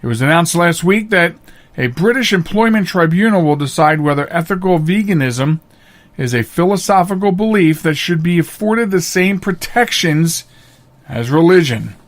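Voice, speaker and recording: A middle-aged man hosting a podcast, giving casual opinionated commentary in a halting, emphatic way. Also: fairly high pitch, animated. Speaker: podcast host.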